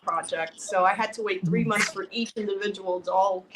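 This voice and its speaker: A woman's voice: medium pitch, fairly even intonation, moderate pace, heavy background noise. A woman from Russia, a guest filmmaker, chatting casually in an interview and trailing off mid-sentence.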